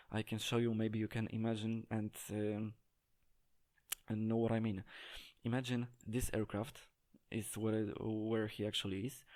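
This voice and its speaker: Low, flat-voiced man explaining haltingly. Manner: hesitant, halting, searching for words. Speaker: game tutorial presenter. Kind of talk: unscripted gameplay tutorial commentary.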